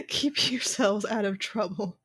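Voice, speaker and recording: A woman playing a tough, teasing young warrior sister in an audio drama, husky and sardonic. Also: mid-range voice, expressive, takes it slowly, fairly bright tone, no room sound, heavily acted. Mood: dry, subdued.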